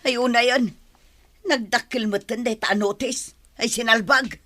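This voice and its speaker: A woman's voice: on the high side, steady tone, a little slow, bright, forceful tone, a little hiss or hum. A woman playing a fretful, scolding older mother with an Ilocano accent in a radio drama. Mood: grumbling.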